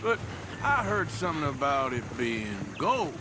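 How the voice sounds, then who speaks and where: A man's voice: a little high, big pitch swings, a little slow, background noise. An actor playing a laconic outlaw gunman in his thirties in a western game, American accent, dry and sardonic. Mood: wry. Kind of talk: western video game acting.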